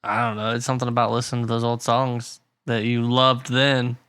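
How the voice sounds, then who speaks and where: A man's voice: medium pitch, completely flat delivery, a little fast, a bit bright, clean tone, no room sound. A man co-hosting a podcast, chatting in an easygoing way and laughing along. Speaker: podcast co-host. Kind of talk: casual chat.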